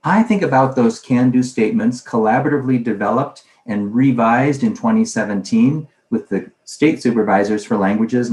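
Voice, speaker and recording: A man presenting a conference talk in a conversational way, posing questions and then answering them himself. His voice sits at a medium pitch. The recording carries a roomy echo. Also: a little mellow. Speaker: conference speaker on language teaching.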